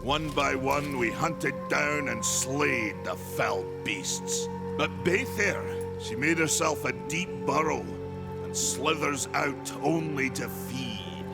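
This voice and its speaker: A man playing a proud, stern old warrior in a fantasy video game, gravelly, with slow, weighty, archaic speech. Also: mid-range voice, over loud music. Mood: grave, brooding. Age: older. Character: proud old male warrior maker, stern, battle-worn. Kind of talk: video game cutscene acting.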